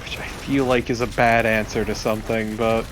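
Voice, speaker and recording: A man's voice: lowish voice, flat delivery, moderate pace, fairly bright tone, slight background noise. A man co-commentating on a video game in a conversational, blunt and opinionated way. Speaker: let's play co-commentator. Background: game music.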